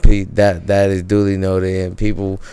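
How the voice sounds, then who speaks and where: A man's voice: sits low, monotone, moderate pace, warm tone, smooth. A man hosting a podcast, hyping his guest in a loose, slangy way with lots of fillers. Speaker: podcast host. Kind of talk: casual podcast interview.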